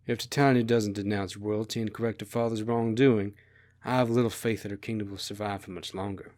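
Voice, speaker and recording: A man's voice: medium pitch, fairly quick, close to an everyday voice. A man voicing a blunt, probing republican who argues against royalty in a story. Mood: subdued, grim.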